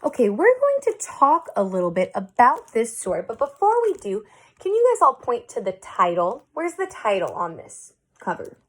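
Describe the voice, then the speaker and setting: A woman reading a picture book aloud as a teacher, in a deliberate, expressive way meant for young children. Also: a little high. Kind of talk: expressive storybook read-aloud with questions to children.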